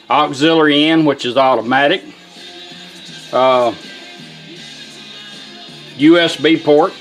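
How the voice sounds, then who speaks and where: A man with a Southern US accent giving a casual, plain-spoken, unhurried product demonstration as a radio restorer. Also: mid-range voice, low-quality recording.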